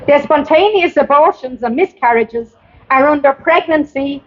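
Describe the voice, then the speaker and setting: An Irish woman with a high voice delivers a prepared address as a campaigner. She reads deliberately and with emphasis, at times tripping over the script. The recording is somewhat dull and low in fidelity. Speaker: campaigner giving a public address. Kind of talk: prepared speech read aloud at a protest. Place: outdoors at a public rally.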